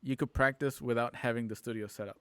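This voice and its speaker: A man's voice: mid-range voice, fairly even intonation, quick pace, clean tone, no room sound, clean studio sound. A man talking casually and earnestly, circling back over his points. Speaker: film editor and aspiring voice actor. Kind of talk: casual group chat.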